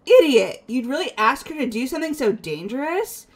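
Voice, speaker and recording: A woman acts a hot-headed, emotional teenage girl in a video game. Her voice is on the high side and very animated in pitch. Background music plays behind her. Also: moderate pace, breathy edge, exaggerated voice. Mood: outraged. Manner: emotional, impulsive. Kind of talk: amateur video game voice-over.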